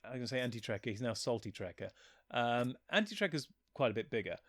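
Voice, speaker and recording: A man with a medium-pitched voice talks casually as an interview guest. He sounds like a writer in relaxed conversation, recorded dry with very little echo. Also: quick pace. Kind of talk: casual chat.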